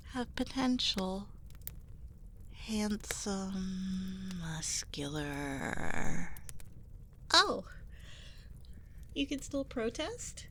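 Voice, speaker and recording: Seductive witch, played by a woman for ASMR roleplay: leisurely delivery, medium pitch, flirtatious yet sinister, over audible nature and fireside sounds. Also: a bit bright, heavily acted. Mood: sly, possessive. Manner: coy, flirtatious, politely sinister. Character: seductive, possessive witch on an isolated island. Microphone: close binaural 3Dio microphone. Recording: nature sounds and fire crackle behind voice. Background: nature ambience, fireplace.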